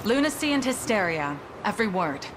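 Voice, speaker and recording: A woman's voice: mid-range voice, big pitch swings, fairly quick, background noise, close to an everyday voice. An actress playing a stern, proud lady commander, with a formal, commanding, sardonic manner in a fantasy game. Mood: stern, dismissive. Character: stern, proud lady commander keeping order amid plague. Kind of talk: theatrical fantasy game acting. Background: music.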